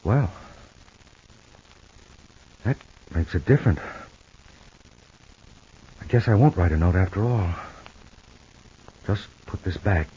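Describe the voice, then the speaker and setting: A tender, quietly hurt American husband in a vintage radio soap, speaking in halting, musing phrases. The voice is deep and rough-edged, on a dull old recording. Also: male voice, animated, exaggerated voice. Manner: halting, musing aloud to himself. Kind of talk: 1950s radio soap opera acting.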